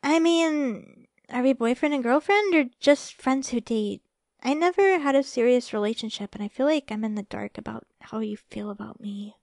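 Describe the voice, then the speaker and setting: A woman playing a sweet, shy young girlfriend in a romantic ASMR roleplay, speaking softly and hesitantly with affection. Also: fairly high pitch, normal speed, gravelly, no echo, studio quality. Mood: hesitant, earnest. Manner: soft, hesitant, affectionate, stammering when flustered.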